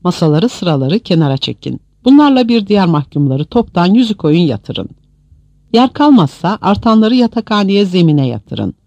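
A harsh military commander, acted by a male audiobook reader, authoritative and forceful. The voice sits high for a man and moves sharply up and down. The sound is very dry and close. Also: very animated, moderate pace, no echo, highs cut off, heavily acted. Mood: commanding. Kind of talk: dramatized audiobook reading.

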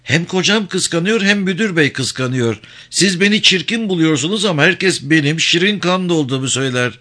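Male narrator as flirtatious gossiping wife, raised pitch, bright tone, coquettish, indignant, storybook character. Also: animated, normal speed. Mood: vain, complaining. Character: vain, flirtatious, quarrelsome married woman, sharp-tongued gossip.

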